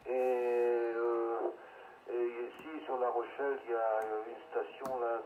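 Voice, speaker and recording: A man chatting casually over amateur radio in a hesitant, rambling way, through a radio transmission. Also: mid-range voice. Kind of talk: casual radio group chat. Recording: narrow phone-like radio link, static noise.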